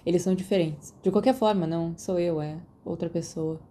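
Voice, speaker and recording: A woman voicing a quiet, awkward schoolboy wizard in a story, speaking hesitantly and evasively. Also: on the low side, steady tone, a little fast, pitched below the performer's own voice, lightly acted. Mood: evasive, subdued.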